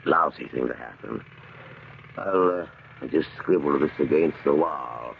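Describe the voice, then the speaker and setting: A man's voice: extremely low pitch, measured pace, vocal fry, exaggerated voice. A man playing an amiable, dutiful American police sergeant in a 1970s radio drama, plain-spoken and folksy. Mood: concerned, genial. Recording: muffled, hissy 1970s radio recording.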